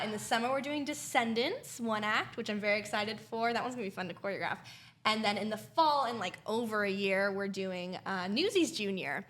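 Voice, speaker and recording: A woman's voice: mid-range voice, a bit bright. A young woman, enthusiastic and chatty, answering questions as an interview guest in casual talk. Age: young adult. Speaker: guest, youth theater director.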